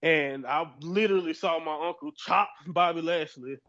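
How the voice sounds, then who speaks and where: Man, fairly high voice, laid-back rambling storyteller, dull lo-fi mic. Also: moderate pace, fairly bright tone. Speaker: podcast co-host. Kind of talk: casual chat.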